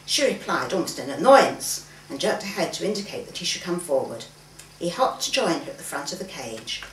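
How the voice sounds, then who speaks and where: A woman reads a story aloud with lively, varied intonation, acting out the dialogue as she goes. She is recorded at some distance in a roomy space, with faint noise behind her. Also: fairly low pitch, a little fast. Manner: acts out the dialogue, with occasional stumbles. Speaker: author reading own fiction aloud. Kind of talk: expressive story reading from a manuscript. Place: room in a private home, live reading event.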